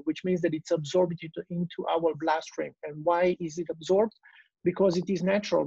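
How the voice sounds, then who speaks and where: A man's voice: on the high side, gravelly, a little mellow, breathy edge, no echo, highs cut off. A man in his forties with an Italian accent, giving a business presentation over a video call, hesitant with many fillers and restarts. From Italy. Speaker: guest speaker. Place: small room at home, indoors. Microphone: earbuds on video call.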